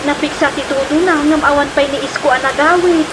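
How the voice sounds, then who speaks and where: An anxious young daughter, played by a woman, who pleads in a high, worried voice. Rain and outdoor ambience run underneath every line she speaks. This is heard in an audio drama. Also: brisk, lightly acted. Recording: rain and ambience noise behind. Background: steady rain.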